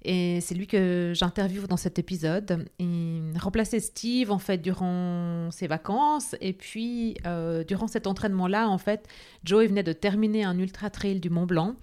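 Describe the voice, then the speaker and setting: A woman's voice: on the low side, measured pace, warm tone, clear, resonant tone. A woman hosting a podcast, reading a scripted intro and then speaking hesitantly with many fillers. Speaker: podcast host.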